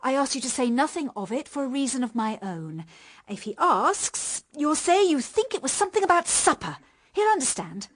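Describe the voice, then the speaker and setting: An actress with a British accent playing a desperate wife, urgent and pleading, in a radio drama. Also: female voice, high-pitched, very animated, normal speed. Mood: urgent, conspiratorial. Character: desperate, pleading unfaithful wife, manipulative older sister.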